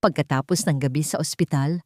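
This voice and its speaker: A woman's voice: sits low, brisk, no room sound, studio quality. A woman with a Filipino accent reading a dramatic romance story as a scripted narration.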